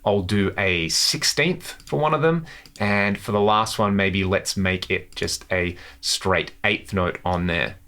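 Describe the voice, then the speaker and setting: Bright, low-pitched man presenting a tutorial. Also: takes it slowly. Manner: enthusiastic. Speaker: guitar tutorial host. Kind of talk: casual instructional demo to camera.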